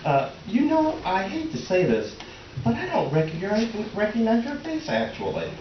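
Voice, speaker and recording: A man's voice: high voice, huge pitch swings, normal speed. A man playing a polite, baffled middle-aged husband in a comic play reading, hesitant, earnest and awkwardly placating. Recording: echoey, distant, muffled noisy live recording.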